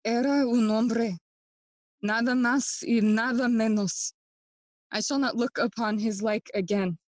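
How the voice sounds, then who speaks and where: Brooding young prince played by a woman, high and slow, theatrical Shakespearean delivery, bitter with grief. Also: steady tone, a little mellow, dry recording, natural voice. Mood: bitter, mournful. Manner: theatrical, impassioned, switching between English and Spanish. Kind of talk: bilingual Shakespearean audio play acting.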